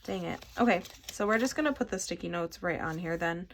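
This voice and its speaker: A woman's voice: on the low side, lively intonation, fairly quick. A young woman from Michigan with a stuffy nose, chatting casually and rambling while she sorts collectible cards for her channel. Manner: rambling, self-deprecating, chatty. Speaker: vlogger.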